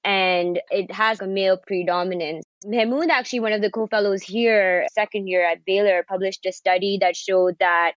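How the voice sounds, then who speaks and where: A woman in her thirties from Pakistan, a cardiology fellow, explaining a medical condition in a measured and informative way. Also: medium pitch, fairly even intonation, no echo, highs cut off. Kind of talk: podcast conversation, explaining a condition.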